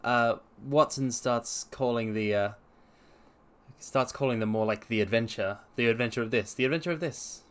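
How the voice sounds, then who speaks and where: A man's voice: mid-range voice, moderate pace. A man hosting a livestream and reading aloud in a theatrical manner, with formal, old-fashioned phrasing. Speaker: livestream host and story reader. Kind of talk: livestream chat and dramatic reading.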